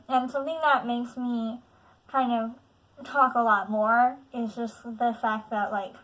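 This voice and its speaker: Young woman vlogger, late teens, nasal with a lisp, slightly high voice, halting and candid with lots of fillers. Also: rough recording. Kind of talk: personal confessional vlog.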